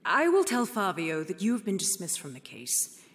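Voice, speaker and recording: An actor playing a composed, prudent adviser in a fantasy video game, speaking in a measured, formal way. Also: mid-range voice, huge pitch swings, a bit bright. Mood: calm, advising. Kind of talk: dramatic video game voice acting. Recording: hall reverb, faint ambient noise.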